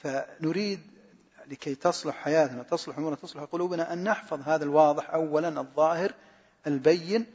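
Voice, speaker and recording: Fast-talking husky man in an echoing hall. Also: fairly high pitch, a little mellow. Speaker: lecturer answering questions on Quran study. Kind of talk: informal religious teaching, question and answer. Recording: muffled, echoing hall recording.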